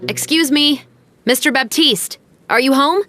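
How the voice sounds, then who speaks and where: An actress playing a composed young female musketeer captain in a video game, formal and measured like an officer on duty. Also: high-pitched, sharp tone, soft background music. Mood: polite, official.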